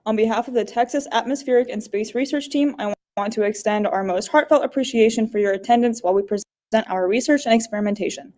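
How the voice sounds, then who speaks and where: A woman's voice: fairly high pitch, fast, few pauses, a little mellow. A teenage girl student formally welcoming the audience and opening her team's presentation. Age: teens. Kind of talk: scripted welcome.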